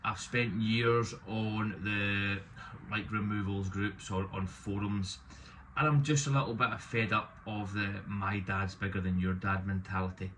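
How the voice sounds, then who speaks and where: Thirties male vlogger, fairly low voice, outspoken and self-deprecating, slight room noise. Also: steady tone, a little slow. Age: 30s. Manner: loud, opinionated, self-deprecating. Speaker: business owner vlogging. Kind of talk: casual talking-to-camera vlog.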